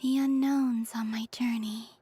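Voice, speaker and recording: An actress voicing a serene young moon goddess in a video game, soft and airy, with a gentle, understated manner. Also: female voice, fairly high pitch, fairly even intonation, a little slow, husky. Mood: reserved. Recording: music behind the voice.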